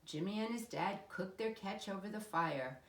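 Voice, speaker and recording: A woman reading a children's picture book aloud as a storyteller, in an animated narrating style. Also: medium pitch, moderate pace. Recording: echoey room.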